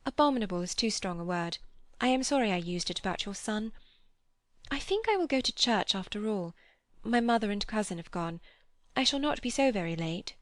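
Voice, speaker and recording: A woman's voice: medium pitch, expressive, fairly quick, no echo, clean studio sound, lightly acted. A woman voicing a proper young woman who sounds flustered and agitated. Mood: indignant. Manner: agitated, rising.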